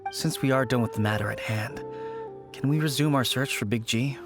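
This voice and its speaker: An actor voicing a calm, formal character eager to resume the search for a spirit. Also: mid-range voice, music in the background, natural voice. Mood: earnest. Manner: formal, measured. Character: serious calm person hunting a spirit.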